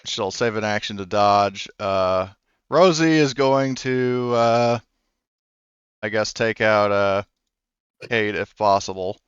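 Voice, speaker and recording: A man running a tabletop game as game master, talking haltingly with many fillers. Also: fairly low pitch, fairly bright tone, clean tone, no room sound, lo-fi sound.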